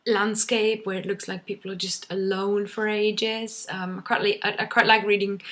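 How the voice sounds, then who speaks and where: A woman's voice: medium pitch, flat delivery, moderate pace, fairly bright tone. A young woman whose first language is German, talking casually to camera in a rambling way, full of ums and restarts. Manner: chatty, many ums and restarts. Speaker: vlogger.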